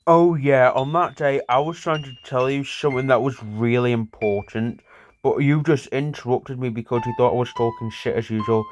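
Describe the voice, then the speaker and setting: A man's voice: medium pitch, steady tone, moderate pace, faint music behind, close to an everyday voice. A man voicing a bickering, sweary cartoon buddy who reminisces in a rambling, repetitive, conversational way. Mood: exasperated. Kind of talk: casual improvised-sounding cartoon dialogue.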